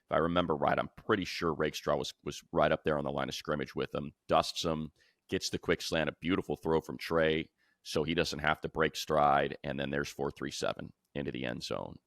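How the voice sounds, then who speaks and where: Deep-voiced American man talking football. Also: a bit bright, no room sound, studio quality. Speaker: sports show host and analyst. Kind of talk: casual football commentary.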